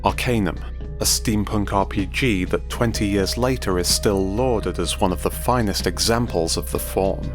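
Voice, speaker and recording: A man's voice: fairly low pitch, normal speed, music in the background. A man delivering scripted documentary narration about the past.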